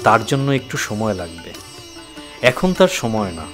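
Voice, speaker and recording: A man's voice: on the low side, sing-song, moderate pace, a bit bright, faint music behind. A man playing an earnest, tender young suitor with a secret in an audio drama. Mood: earnest pleading.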